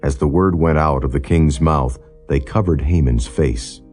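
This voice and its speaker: A man reading scripture as a solemn, formal audiobook narrator, in a measured and non-dramatized style. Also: rumbling low, a little mellow, husky. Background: background music.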